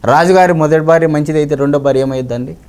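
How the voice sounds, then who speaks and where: A man's voice: on the high side, quick pace, a little mellow, smooth. A man giving his political opinions conversationally as an interviewee, emphatic and repeating phrases to drive points home. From Andhra Pradesh, India.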